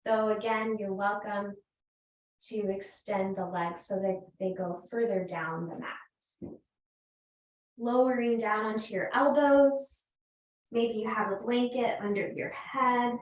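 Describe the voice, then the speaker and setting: A woman yoga teacher guiding a restorative class in a gentle, unhurried, invitational way. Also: medium pitch. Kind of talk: slow guided restorative yoga instruction. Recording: roomy echo, distant microphone.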